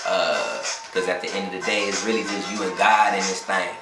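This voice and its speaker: A man's voice: low-pitched, fairly even intonation, fairly quick. A young Southern man from Atlanta, talking in a laid-back drawl and often saying "you know what I'm saying". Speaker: rapper. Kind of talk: freestyle rap verse, then casual interview talk. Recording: echoey room with loud music behind.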